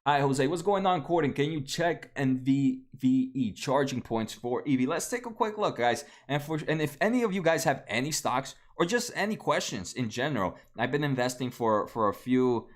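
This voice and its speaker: A man's voice: medium pitch, animated, normal speed, few pauses. A man in his late twenties, a native Spanish speaker, hosting a casual stock livestream, answering viewers with many fillers and restarts. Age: 20s. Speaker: stock market livestream host. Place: home room at a desk.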